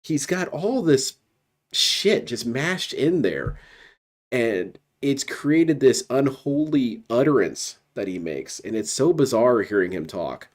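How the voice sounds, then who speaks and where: A man's voice: mid-range voice, very animated, slow. A man giving casual, sardonic commentary as a streamer, heckling what he watches. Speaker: streamer reacting to another stream.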